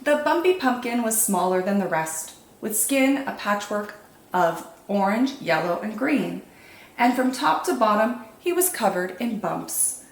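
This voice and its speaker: A woman hosting storytime, reading picture books in an expressive, animated way for young children. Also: mid-range voice, measured pace, roomy sound, a little hiss or hum. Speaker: children's storytime host and reader. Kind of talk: expressive picture-book narration.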